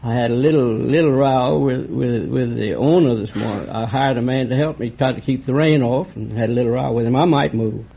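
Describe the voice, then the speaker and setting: An American man in his late fifties with a gravelly voice, chatting in a folksy, good-humored way as a contestant. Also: medium pitch, a little fast, hardly any pauses. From southern United States. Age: late 50s. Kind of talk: casual chat. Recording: muffled old radio recording, noisy audience. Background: loud studio audience.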